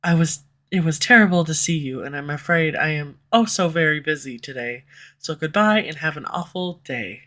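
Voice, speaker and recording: A woman voicing a sharp-tongued, tsundere female villain who swears and speaks sarcastically, recorded close on a studio condenser mic. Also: low-pitched, animated, normal speed. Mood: dismissive. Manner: sarcastic, swears, turns confessional. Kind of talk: audio roleplay. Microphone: studio USB condenser mic.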